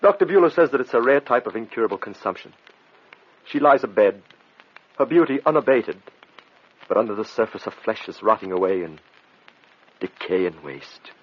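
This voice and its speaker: An actor playing a desperate, devoted husband, earnest and pleading in a melodramatic old radio drama style. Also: male voice, fairly low pitch, animated, normal speed, pauses often, husky. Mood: anguished. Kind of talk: vintage radio drama acting. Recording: dull old radio recording.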